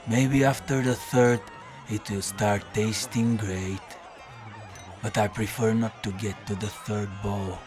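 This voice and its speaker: Man playing a slow, weary hard-drinking detective. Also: fairly low pitch, over music. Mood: weary, wry. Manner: deadpan, slow, world-weary. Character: weary, battered, hard-drinking male detective, wry and evasive. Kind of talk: first-person noir audio drama narration.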